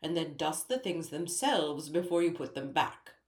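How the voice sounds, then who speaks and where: A woman's voice: low voice, animated, normal speed, darkish tone. A woman voicing a tiny, fussy, pompous male king who speaks in a stern, formal, lecturing way. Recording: roomy echo.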